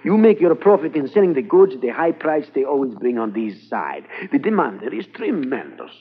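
An oily Argentine smuggler. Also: male voice, medium pitch, big pitch swings, fairly quick, breathy edge. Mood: smooth, persuasive. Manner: oily, ingratiating, polite. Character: smooth scheming Argentine drug smuggler, middle-aged man. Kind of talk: 1950s radio drama acting. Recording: tinny, muffled 1950s radio transcription.

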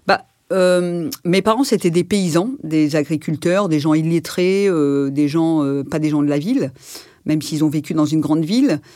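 A woman in her sixties born in France, recalling her life in a personal interview, pausing often with fillers and restarts. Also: low-pitched, dark tone, no echo, clean studio sound. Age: 60s. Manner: hesitant, reflective, many fillers and restarts. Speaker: interview guest.

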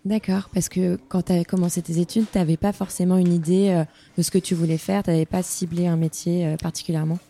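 A woman hosting an interview podcast, asking gentle, measured questions in a café-restaurant. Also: fairly low pitch, flat delivery. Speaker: podcast host.

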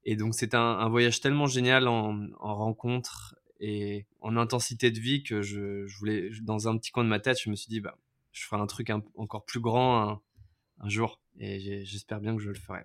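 Low-voiced hesitant man telling his story. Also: flat delivery, moderate pace, fairly bright tone. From France. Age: 30s. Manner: hesitant, many fillers and restarts. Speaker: podcast guest. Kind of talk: casual storytelling interview.

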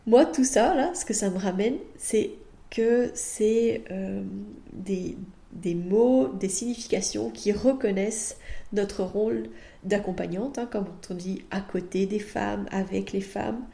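A woman's voice: medium pitch, slow, vocal fry, dark tone, roomy sound. A woman from France with a French accent, hosting a podcast as a midwife and talking in a monologue.

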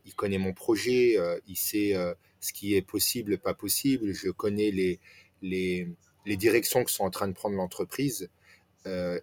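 A man's voice: low-pitched, a little mellow. A man in his forties from France, telling his own story casually as an interview guest, asking himself questions and answering them. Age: 40s.

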